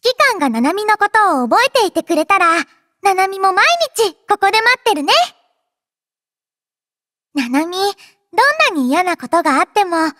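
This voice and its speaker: Very high, childlike anime robot girl. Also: sing-song, normal speed, pauses often, sharp tone, dry recording, clean studio sound, full character voice. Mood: tender. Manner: cutesy, refers to herself in third person, teasing. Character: playful, affectionate childlike robot girl, mischievous and eager. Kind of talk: anime game character voice lines.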